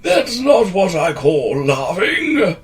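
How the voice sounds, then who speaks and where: A man voicing a large, fat, excitable male wizard who talks in a wheezy way, bossy and cheerful. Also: high voice, slow pace, pitched above the performer's own voice, heavily acted. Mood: exclaiming.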